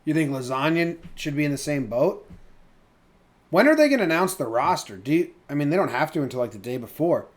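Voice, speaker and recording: A man with a slightly high voice talks sports as a podcast co-host. His delivery is casual and colloquial, with loose swearing thrown in, like chatting with friends. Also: very animated, fairly quick.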